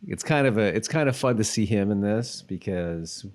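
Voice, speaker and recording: A man's voice: on the low side, expressive, moderate pace, darkish tone. A man co-hosting a podcast, chatting casually in a loose, rambling way as he thinks aloud. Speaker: podcast co-host. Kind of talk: casual chat about a TV episode.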